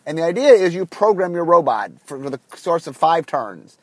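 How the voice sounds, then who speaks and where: A man with a husky voice from a cold, casually telling a long story on his podcast, full of ums and restarts. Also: a little high, sing-song, moderate pace, a little mellow, dry recording. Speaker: podcast host. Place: car, recording on the drive to work.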